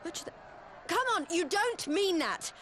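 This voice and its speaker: Urgent woman in a mob scene, sharp, piercing pitch, slight noise behind, fantasy game. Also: animated, moderate pace, a faint crowd. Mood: alarmed, urgent. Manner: urgent, strained shouting.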